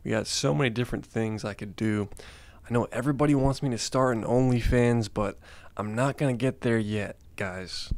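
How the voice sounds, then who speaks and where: A man's voice: medium pitch, normal speed, a little mellow, dry recording. A young man giving a laid-back, mock-serious comedy monologue into a handheld mic in a small room. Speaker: comedy vlogger. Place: small room with green-screen backdrop. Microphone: handheld mic held close.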